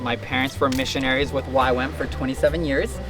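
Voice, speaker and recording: A man's voice: mid-range voice, steady tone, quick pace, over music. A man in his twenties from the Dominican Republic, talking as a guest in a casual interview, earnest and upbeat. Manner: earnest and upbeat, yelping at the cold.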